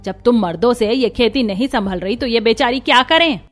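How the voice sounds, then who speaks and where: A woman's voice: fairly high pitch, normal speed, soft background music, lightly acted. A woman voicing a firm, protective older village mother-in-law in a cartoon, motherly and admonishing. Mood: indignant.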